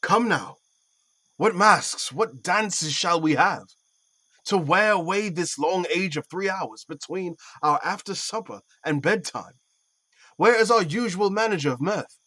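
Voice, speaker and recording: A man plays a stately duke in a Shakespearean audio drama. His delivery is measured, sceptical and declamatory, in a slightly high voice. It is recorded very dry. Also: animated, sharp tone, no room sound, full character voice. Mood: genial, expectant. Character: Theseus, stately sceptical male Athenian duke. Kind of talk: Shakespearean audio play acting.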